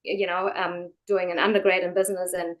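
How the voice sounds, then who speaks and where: A woman's voice: fairly low pitch, little pitch movement, a little fast. A woman from Germany, speaking as a guest in a casual interview, chatty and full of fillers and restarts.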